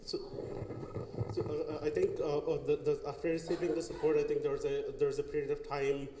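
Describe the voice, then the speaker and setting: A man's voice: a little high, level tone, fairly quick. A young man from Bangladesh giving an artist talk in a gallery, hesitant, with many fillers and restarts. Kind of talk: casual artist talk, answering questions. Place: gallery hall. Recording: echoey hall, noisy room.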